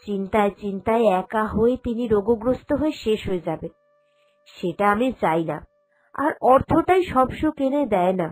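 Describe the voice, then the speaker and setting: A woman's voice: mid-range voice, animated, normal speed, faint music behind, close to an everyday voice, poor audio. A woman voicing a sensible, caring young woman of the family in a cartoon drama, earnest and reassuring. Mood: earnest, firm. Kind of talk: Bengali cartoon drama dubbing.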